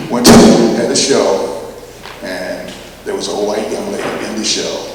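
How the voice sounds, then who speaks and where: An older man from Chicago, a panel artist telling stories in a rambling way, with lots of fillers and restarts. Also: fairly low pitch. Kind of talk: panel discussion answer. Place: large gallery hall with audience. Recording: echoey hall, distant mic, noisy.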